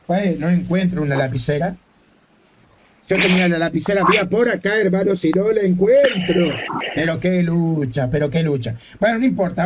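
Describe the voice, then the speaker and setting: A man's voice: high-pitched, background noise. A man with a Rioplatense Spanish accent hosting a religious debate, arguing his points with rhetorical questions. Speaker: debate host.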